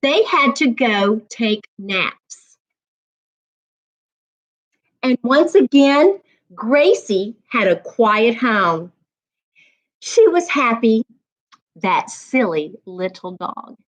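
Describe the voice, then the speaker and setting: A woman with a fairly high, very lively voice hosts a children's storytime. She takes her time, phrasing each line deliberately and with clear emphasis, like a reading coach guiding young listeners. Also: low-quality recording. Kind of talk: expressive children's read-aloud and teaching.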